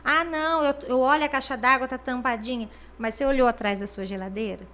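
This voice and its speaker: A woman's voice: high voice, fairly even intonation, a little fast, fairly bright tone. A woman, a regional health official explaining things in an interview with many fillers. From Brazil. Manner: explanatory, many fillers like "né", acts out quoted people. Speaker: regional health superintendent, guest.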